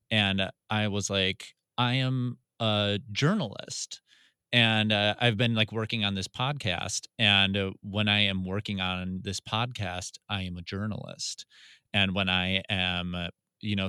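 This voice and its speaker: A man's voice: lowish voice, sharp tone, clear, resonant tone, dry recording, clean studio sound. A man, a radio music director answering interview questions in a searching way, full of restarts. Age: 30s.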